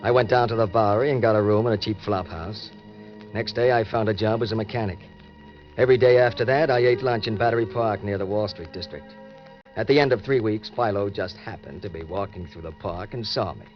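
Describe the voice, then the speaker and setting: Low-voiced hard-boiled American ex-convict, played by a man. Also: fairly quick, natural voice. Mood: wry narration. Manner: wry, laconic, terse. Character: hard-boiled ex-convict war veteran posing as missing heir. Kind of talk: old-time radio crime drama acting and narration. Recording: dull vintage radio recording, faint music.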